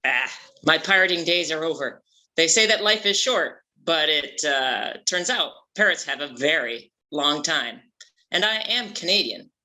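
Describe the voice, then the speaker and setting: A man's voice: a little high, lively intonation, measured pace, sharp tone, exaggerated voice, rough recording. A man playing a vain, boastful ex-pirate shark hunter, grand and pompous, in a comedy table read.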